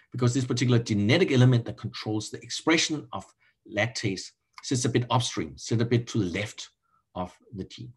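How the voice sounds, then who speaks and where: A middle-aged man with a Danish accent teaches over a video call in a husky, mid-pitched voice. He explains step by step like a university lecturer, often stopping to restart or repeat a word. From Denmark. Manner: halting, self-correcting, repeats words. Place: indoor room, on a video call.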